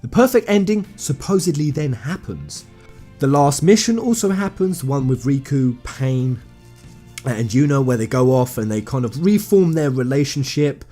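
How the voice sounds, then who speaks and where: A man's voice: medium pitch, animated, normal speed, mellow, soft background music. A man giving an informal explainer commentary on video game lore, talking conversationally and emphatically to his viewers. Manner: conversational, addresses viewers as guys, emphatic phrasing.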